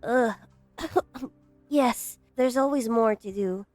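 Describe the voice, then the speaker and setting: A woman playing a brisk, scheming research director in a game fan dub. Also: a little high, dry recording, soft background music. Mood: breezy, bluffing. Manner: expressive, brisk.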